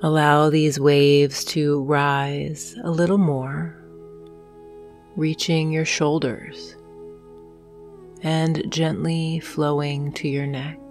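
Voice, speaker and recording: A woman narrating a guided hypnosis meditation in a soothing, gentle manner, leaving long pauses between phrases. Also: deep voice, level tone. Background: soft meditation music with singing bowls.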